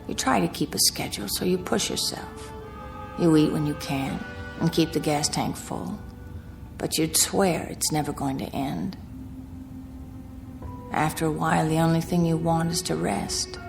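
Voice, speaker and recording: Uneasy, low-voiced, creaky young woman. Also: steady tone, a little slow, pauses often, music in the background. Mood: weary, uneasy. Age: 20s. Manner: uneasy, confiding. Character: weary, anxious young woman motorist travelling alone. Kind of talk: radio drama acting, confiding narration and dialogue.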